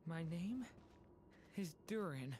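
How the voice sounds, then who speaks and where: An actor voicing a frail, confused young boy in a video game, halting and pleading. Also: mid-range voice, animated, mellow, slight background noise. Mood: lost, anxious. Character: frail, confused young dragon boy Durin, weakened and searching. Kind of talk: dramatic video game voice acting.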